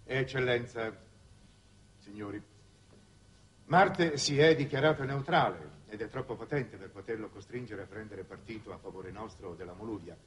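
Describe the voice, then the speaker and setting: An actor playing a solemn middle-aged minister giving a ceremonious, deliberate address in a 1960s Italian television drama. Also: male voice, fairly low pitch, a little hiss or hum, natural voice. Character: solemn male minister for extraterrestrial territories. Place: television studio set.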